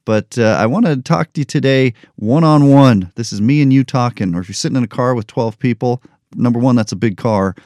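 A man's voice: mid-range voice, fairly quick, a little mellow, no echo. A man in his forties hosting a motivational podcast, talking candidly and unscripted with a joking touch. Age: 40s. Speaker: podcast host. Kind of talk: solo motivational podcast monologue.

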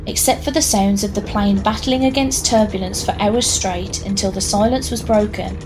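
Female narrator, mid pitch: measured scripted storytelling for audio drama, noisy ambience behind. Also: steady tone. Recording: clear background noise, ambience.

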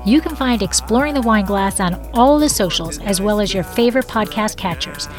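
A woman's voice: mid-range voice, lively intonation, normal speed, soft background music. A woman hosting a podcast who talks in a bubbly way and laughs often. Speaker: podcast host and sommelier. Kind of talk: podcast intro and casual interview.

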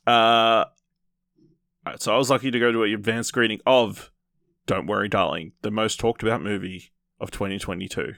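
A man hosting a podcast, casually reviewing films in a rambling, halting way with repeated false starts. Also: fairly low pitch, steady tone, gravelly, a bit bright, dry recording, studio quality. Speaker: podcast host. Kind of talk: casual film review chat.

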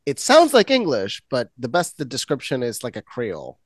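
Animated man host, lively pitch. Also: moderate pace, no room sound, clean studio sound. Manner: animated, emphatic, talks over others. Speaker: podcast host. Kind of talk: casual chat.

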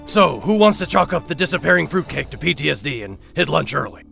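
A gruff squad leader played by a man in a military comedy. The voice is very gravelly yet forceful, impatient and blunt. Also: fairly high pitch, very animated, fast, faint music behind, heavily acted. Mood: irritated. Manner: barking, blunt.

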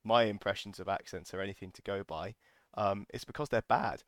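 Male host, lowish voice, dry close sound, relaxed and jokey. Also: a little fast, no room sound. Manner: relaxed, jokey, many fillers. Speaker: podcast host. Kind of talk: casual interview chat.

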